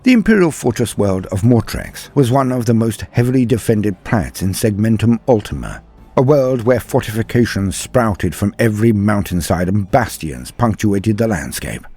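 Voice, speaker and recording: A low-voiced man narrates lore as a show host, with a husky grain and a very animated delivery. His scripted address is grand, formal and theatrical. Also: moderate pace, soft background music. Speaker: host of a fantasy lore channel.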